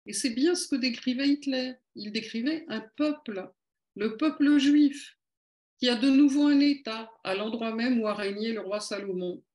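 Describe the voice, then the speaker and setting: A woman's voice: fairly high pitch, moderate pace, a little mellow. A woman giving a polemical lecture at an evening meeting, with sardonic, ironic asides.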